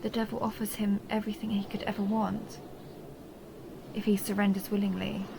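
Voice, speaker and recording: Woman playing a seductive vampire countess, creaky and hushed. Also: mid-range voice, little pitch movement, noisy background, lightly acted. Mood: curious, amused. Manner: slow, teasing, hushed, often breathy whispering. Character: seductive possessive vampire countess toying with her human captive. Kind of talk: intimate dark romance audio roleplay, ASMR-like.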